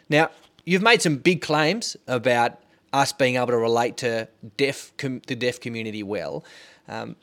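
A man hosting a podcast interview, talking earnestly and telling a personal story before asking his guest a question. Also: mid-range voice. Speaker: podcast host.

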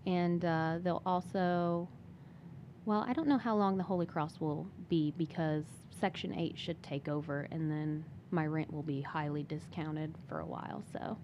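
A young woman with a fairly low voice talks about herself in a casual interview style. There is a faint bed of background noise and ambience behind her. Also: steady tone, unhurried, dull audio. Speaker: podcast interview guest. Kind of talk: casual personal chat.

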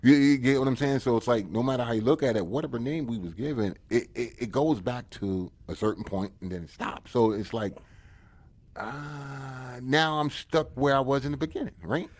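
A man's voice: mid-range voice, moderate pace, a little mellow. A man, talking casually as a guest and rambling with constant repeats of "you get what I'm saying". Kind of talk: casual conversation.

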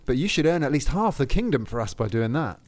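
A man's voice: medium pitch, big pitch swings, fast talker. A man voicing a cunning, greedy soldier in a storybook reading, raising his voice into a wheedling, pleading tone. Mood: eager. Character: cunning, greedy, quick-talking old soldier.

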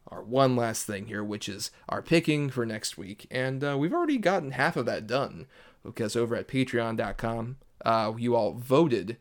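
A man's voice: mid-range voice, expressive, moderate pace. A man co-hosting a casual movie podcast with playful, jokey banter. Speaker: podcast co-host.

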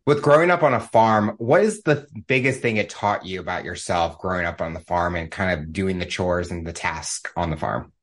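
A man's voice: sits low, normal speed, hardly any pauses. A man hosting a podcast, opening with an upbeat scripted intro and then asking plain interview questions. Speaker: podcast host.

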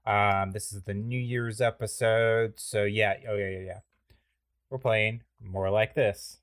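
A man's voice: on the low side, little pitch movement, a little slow, fairly bright tone, clean tone. A man hosting a comedy podcast, explaining a game in a rambling, playful way full of fillers. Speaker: podcast host. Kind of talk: casual comedic podcast chat.

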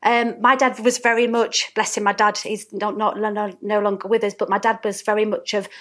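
A woman's voice: mid-range voice, level tone, moderate pace. A woman with a Yorkshire accent, a business owner telling her life story as an interview guest in a chatty storytelling manner. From South Yorkshire, England. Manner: chatty, warm storytelling with frequent ums. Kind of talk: relaxed interview conversation.

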